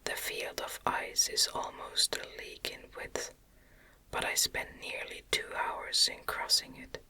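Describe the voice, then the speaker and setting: A woman reading a gothic novel as ASMR in a gentle, breathy, unvoiced whisper, phrase by deliberate phrase. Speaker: ASMR reader.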